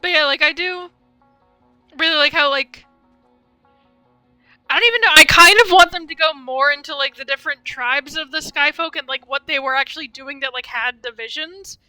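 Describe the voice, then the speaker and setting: A young woman gives casual live game commentary in a high, very bright voice. She rambles chattily through asides, recorded dry and close with faint music behind. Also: normal speed, no echo, poor audio. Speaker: game streamer.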